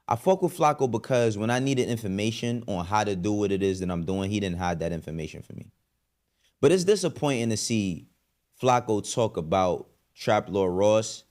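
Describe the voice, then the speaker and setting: Low-voiced New York man giving commentary. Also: a little mellow. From the Bronx, New York. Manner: emphatic, street slang, profane, rhetorical questions. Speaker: commentary show host. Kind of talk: opinionated casual commentary.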